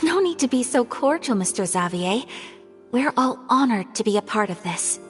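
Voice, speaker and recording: A polite, gracious woman from a video game. Her voice is fairly high and expressive, in a reverberant space with music behind. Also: moderate pace. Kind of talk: animated video game voice acting.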